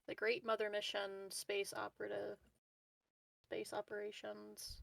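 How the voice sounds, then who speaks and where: A woman with a gravelly fry in her medium-pitched voice talks casually as a tabletop game player. She thinks aloud in a loose, tentative way, recorded close and dry. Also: completely flat delivery, unhurried, fairly bright tone, no echo.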